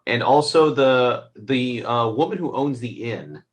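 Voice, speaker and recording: Halting man in casual film discussion. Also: medium pitch. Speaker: film reviewer, co-host.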